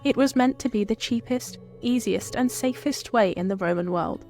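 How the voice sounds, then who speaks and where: A woman's voice: on the high side, moderate pace, darkish tone, soft background music. Woman telling scripted history. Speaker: history tour presenter.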